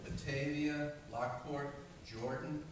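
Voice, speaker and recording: An actor playing a decisive, friendly leader of farm animals in a stage radio play. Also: male voice, a little high, steady tone, takes it slowly. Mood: purposeful. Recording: echoey hall from far off, faint noise.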